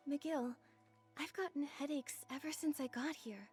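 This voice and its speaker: A young video game heroine with a high voice. She sounds earnest and troubled as she questions what is happening. Music plays softly behind her. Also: unhurried.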